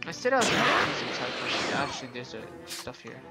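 A young man narrates his own gameplay casually. He thinks aloud, often doubling back on his words, at an uneven level. Loud music from the game runs behind his voice. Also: mid-range voice, very animated. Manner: thinking aloud, halting, repeats himself. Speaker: gaming video host. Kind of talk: casual let's play commentary. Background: game music and effects.

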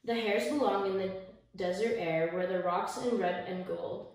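A young woman reading a children's picture book aloud in measured, rhythmic verse, with the odd small stumble. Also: medium pitch, a little mellow. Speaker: story time reader. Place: small indoor room, seated by a window. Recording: echoey room, distant mic, faint noise.

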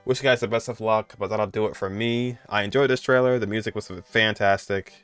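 A man in his twenties reacts casually to a game trailer in an informal, chatty style. His voice is fairly low and smooth, with some music playing behind him. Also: steady tone, fairly quick, a bit bright, no room sound.

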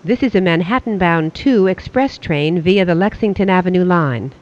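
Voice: medium pitch, moderate pace. Transit announcer, dull lo-fi recording. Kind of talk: scripted subway train announcement. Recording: dull, lo-fi audio.

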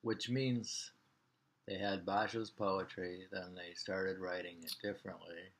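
An older man in his sixties reading poems aloud at home with informal, halting commentary, repeating lines and names. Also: deep voice, slightly rough, low-quality recording. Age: 60s. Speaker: poetry reader. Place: small living room at home.

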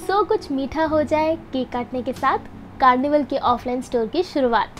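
A woman's voice: high voice, normal speed, very noisy. A young woman narrating her vlog as a voice-over, chatty and upbeat, talking straight to her viewers. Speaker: food and event vlogger. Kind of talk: voice-over narration mixing Hindi and English.

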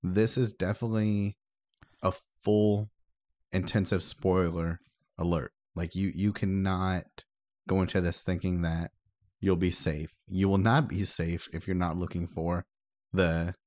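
A man's voice: low-pitched, little pitch movement, measured pace, darkish tone, no echo. A man chatting casually as co-host of a podcast.

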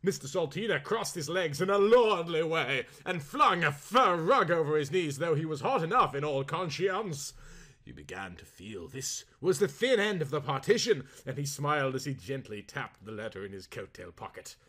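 A man reading a storybook aloud in a theatrical, expressive way. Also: a little high, moderate pace. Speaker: audiobook reader. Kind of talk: dramatized storybook narration.

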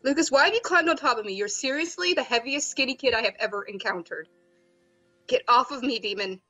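A woman's voice: fairly high pitch, lively intonation, brisk, sharp tone, faint music behind. A woman voicing a whiny, grandiose teen boy. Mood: melodramatic protest. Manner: whiny, melodramatic protests. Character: sleepy dramatic teenage boy calling himself a great wizard. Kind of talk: fan voicing of a visual novel.

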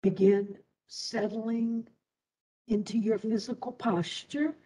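A woman teacher leading a guided meditation in a soothing, deliberate way, leaving long pauses. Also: fairly high pitch, fairly even intonation, dark tone. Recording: distant microphone.

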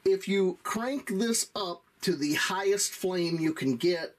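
A middle-aged man explaining things in a casual, folksy, deliberate way, like a hands-on product reviewer. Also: high voice.

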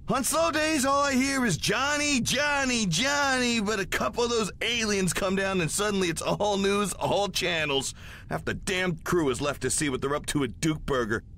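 A man's voice: sits high, bright, forceful tone, slight background noise, full character voice. An actor playing a chatty, apologetic TV studio crewman who grumbles in a video game.

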